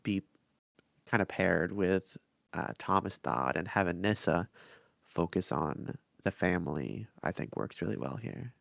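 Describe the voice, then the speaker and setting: A man co-hosts a casual review podcast in a very slow, halting way, laying out short fragments with care. His voice is gravelly, with a bright, forward edge. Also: low-pitched, lively intonation, no echo, clean studio sound. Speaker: podcast co-host.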